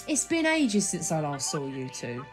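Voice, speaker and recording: A woman's voice: low voice, sing-song, a little slow, over music. A woman playing a young, easygoing, teasing granddaughter with a casual, placating manner in an audio drama.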